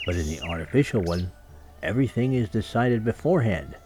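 A man's voice: fairly low pitch, expressive, brisk, natural voice. A man voicing a fussy, self-important music master in a children's storybook, lecturing pompously. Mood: smug, insistent.

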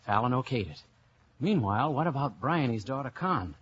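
An actor playing a wry, easygoing American investigator with a dry, casual delivery, in a 1950s radio drama. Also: male voice, medium pitch, big pitch swings, moderate pace, a bit bright, husky. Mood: brisk narration. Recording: dull, hissy 1950s radio recording.

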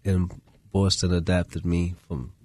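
A man from Haiti gives casual interview answers as a radio guest. His voice sits on the low side, and the studio sound is dry with little room around it. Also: flat delivery, takes it slowly, a little mellow, slightly rough, dry recording. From Haiti, moved to the US at ten. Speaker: radio guest, food business owner.

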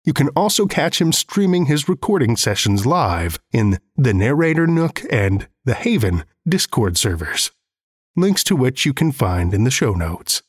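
A man's voice: medium pitch, big pitch swings, measured pace, husky, dry recording, clean studio sound. A man hosting a horror fiction podcast, reading a script in a theatrical, playfully ominous manner.

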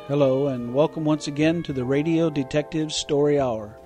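A man's voice: on the high side, normal speed, warm tone, soft background music. A man hosting a podcast and interviewing in a conversational style. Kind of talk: conversational radio-history interview.

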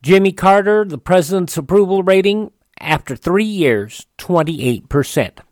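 A man's voice: high-pitched, lively intonation, a little slow, darkish tone. A man hosting a radio show, reading almanac items in an announcer-like way that leans on the names. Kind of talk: scripted radio almanac narration.

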